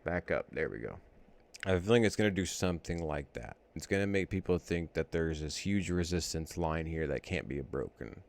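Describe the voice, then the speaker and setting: A man's voice: very deep voice, expressive, a little fast, creaky, darkish tone. A man casually thinking aloud as he walks through trading charts, rambling and correcting himself as he goes. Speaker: trading educator.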